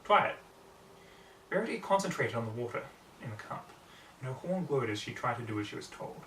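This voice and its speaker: A man narrates a written story in a medium-pitched voice. The recording is roomy, with the mic some way off and faint noise behind him. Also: lively intonation, fast, fairly bright tone, recorded from a distance.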